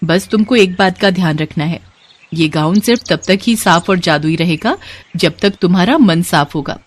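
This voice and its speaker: A woman voicing a kind, grateful fairy, speaking in a gentle, reassuring and instructive way for a children's story. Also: lowish voice, normal speed, natural voice. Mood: kindly instructive. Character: kind, grateful young female fairy granting wishes.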